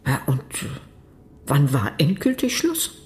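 A woman's voice: low voice, wildly swooping pitch, a little slow, heavily acted. A bored official in a radio drama. She speaks curtly, with dry scepticism and a dismissive manner. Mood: bored, sceptical. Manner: curt, dismissive. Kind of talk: radio play acting.

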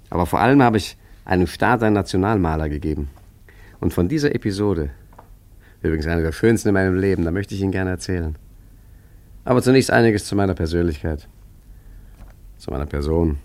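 A man's voice: low voice, darkish tone. A man playing an urbane, boastful art forger in a radio play, chatting smugly with many asides and tag questions. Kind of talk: radio drama monologue, conversational storytelling.

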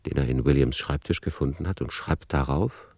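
A man playing a reflective author who narrates a literary radio play in a deliberate, ponderous manner. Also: a bass voice, vocal fry, darkish tone, dry recording, studio quality, full character voice. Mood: measured, ironic. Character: reflective male author musing over his novel.

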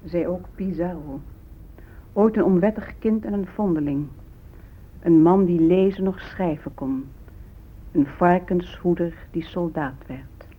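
Explanatory go-between, lowish voice, pointed, radio drama, muffled recording. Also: female voice, a little slow.

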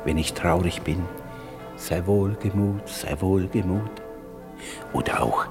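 A deep-voiced, whimsical old father. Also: slow. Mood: warm, devout. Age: older. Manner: theatrical, savouring, exclamatory. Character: poor old London errand porter, tender, whimsical father. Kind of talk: 1950s German radio play acting. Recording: 1950s radio production with music.

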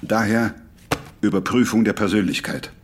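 An actor playing a clipped, probing surveillance official in a radio play, reading out reports. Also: male voice, fairly low pitch, steady tone, normal speed, heavy background noise, close to an everyday voice. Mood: probing, officious.

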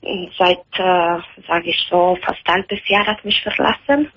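Slow, hesitant Italian-accented woman. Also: lowish voice, fairly even intonation, slightly rough. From Italy. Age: 30s. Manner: hesitant, halting with many fillers. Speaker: caller. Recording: dull phone-in radio sound.

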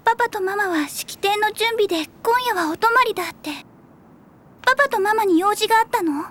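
Very high-pitched little child. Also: female voice, fairly quick, a bit bright. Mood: innocent, curious. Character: innocent, sweet little child. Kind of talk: Japanese anime voice acting.

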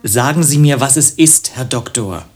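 An actor playing a lovelorn, self-pitying hypochondriac in a German radio play, with ornate, wry phrasing. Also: male voice, mid-range voice, lively intonation, moderate pace, faint music behind, natural voice. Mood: plaintive, ornate. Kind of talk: German radio play acting, literary monologue and dialogue.

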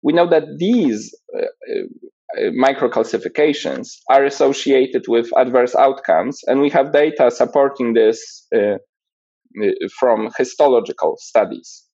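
A man from Poland, a guest researcher explaining his imaging work in a measured, technical way with frequent fillers. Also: mid-range voice, warm tone, breathy edge, no room sound, lo-fi sound.

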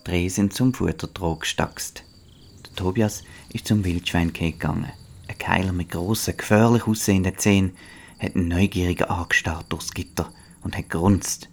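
Deep-voiced Swiss German male narrator. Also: fairly even intonation, moderate pace. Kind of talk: scripted children's story narration.

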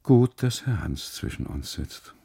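A man narrating a literary radio monologue in the first person, deliberately, in short phrases broken by pauses. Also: extremely low pitch, very animated, vocal fry, dark tone, husky.

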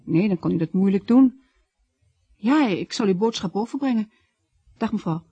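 A woman's voice: medium pitch, expressive, normal speed, lo-fi sound, background noise, close to an everyday voice. A woman acting in a Dutch radio play as a practical office secretary with a playful, lightly mocking manner. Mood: polite, efficient.

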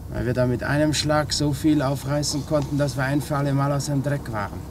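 A man's voice: medium pitch, fairly even intonation, fairly quick, lightly acted. An actor playing a cornered male criminal confessing to an inspector in a 1950s radio crime drama. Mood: insistent. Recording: noisy old 1950s radio recording.

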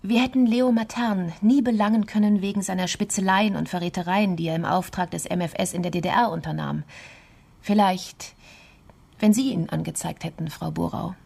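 A woman's voice: medium pitch, fairly even intonation, normal speed, vocal fry, a little mellow, breathy edge. Female investigator, crime radio play, mid-range voice, composed, factual, clipped delivery. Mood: firm. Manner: matter-of-fact, clipped case reporting. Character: composed, determined female investigator laying out a case.

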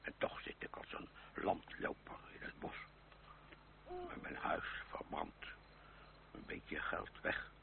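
An actor playing a bewildered, stammering old gentleman in a radio drama, with sighs and a gravelly voice. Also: slow pace, natural voice. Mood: plaintive. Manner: stammering, hesitant, sighing. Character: bewildered, sighing old uncle, ruined gentleman fleeing revolution. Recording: noisy, humming, tinny old radio recording.

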